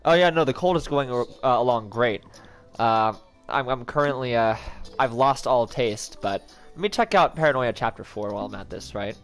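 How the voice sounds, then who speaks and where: A man's voice: medium pitch, lively intonation, moderate pace, faint music behind. A young man with a head cold chatting casually on a livestream, rambling and joking with lots of restarts. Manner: rambling, self-interrupting, joking. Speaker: streamer.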